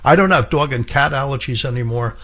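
A middle-aged man with a husky voice, hosting a health radio show as a pharmacist, talking with enthusiasm and stacking up repeated phrases for emphasis. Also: mid-range voice, brisk, a bit bright. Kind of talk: radio health talk monologue.

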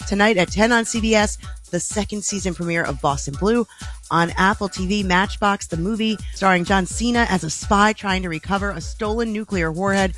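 A woman co-hosting a morning radio show, reading TV and streaming listings in a brisk announcer delivery. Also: medium pitch, a bit bright, faint music behind.

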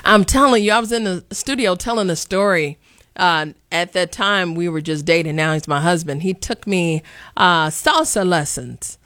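A woman's voice: on the low side, normal speed, a bit bright, no echo. A woman in her late thirties hosting a talk show, chatting playfully in colloquial speech. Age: 30s. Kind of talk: casual interview chat. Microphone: video call.

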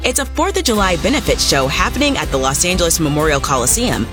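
A woman's voice: fairly low pitch, animated, fast, over music. A woman reading an event ad as an enthusiastic announcer. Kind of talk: scripted ad read.

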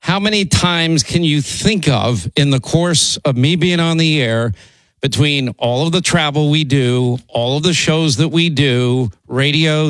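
Hoarse male talk radio host, dry studio. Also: fairly high pitch, fairly bright tone, no echo, poor audio. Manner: rambling, repeats himself, pushes on. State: hoarse from laryngitis.

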